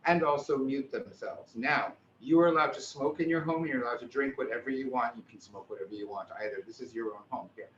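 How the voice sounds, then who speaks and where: A man's voice: medium pitch, fairly bright tone. Rambling older man on a muffled video call. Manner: rambling, halting, chatty. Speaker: director and host. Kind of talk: casual introduction. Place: seated on a small cabaret stage. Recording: roomy, muffled, slight noise.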